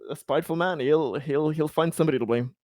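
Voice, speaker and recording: A man playing a tabletop roleplaying game, chatting and narrating casually in a halting, stammering way. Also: fairly high pitch, a little mellow, no echo, studio quality. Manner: halting, stammering with repeated words.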